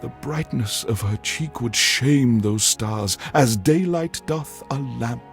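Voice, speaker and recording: An actor playing a pompous, erudite alien overlord who hosts a game radio show, reciting in grandiose, carefully enunciated theatrical style. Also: male voice, mid-range voice, slow pace, creaky, soft background music. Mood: lovestruck, reverent. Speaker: radio DJ host.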